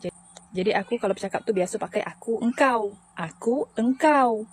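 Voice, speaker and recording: A woman's voice: on the high side, normal speed, husky. A woman with a Riau Malay accent telling a chatty personal story to camera. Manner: chatty, anecdotal.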